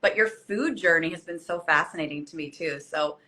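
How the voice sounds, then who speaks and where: Woman podcast host, bright, forceful voice, gushing, enthusiastic. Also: low-pitched. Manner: enthusiastic, gushing, quick affirmations. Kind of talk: casual conversational interview.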